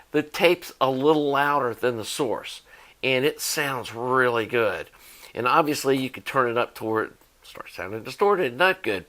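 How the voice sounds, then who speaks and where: A man's voice: mid-range voice, a bit bright. An American man talking informally as a hobbyist video host, explaining gear in a rambling, think-aloud way. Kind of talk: casual explanatory product talk.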